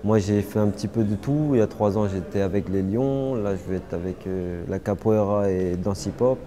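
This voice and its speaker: A young man, answering interview questions casually, halting often with fillers and restarts. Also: on the low side, mellow. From Paris suburbs, France. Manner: hesitant, many fillers and restarts. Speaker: interviewee, martial artist.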